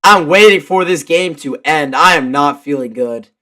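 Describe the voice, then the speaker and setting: A man calls a live basketball game in a fairly high, forceful voice. He sounds stuffed up with a cold. His delivery mixes quick play calls with casual, excitable fan reaction. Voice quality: stuffy, congested. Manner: excitable, shouts on big plays. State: congested from a cold. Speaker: live play-by-play commentator and streamer.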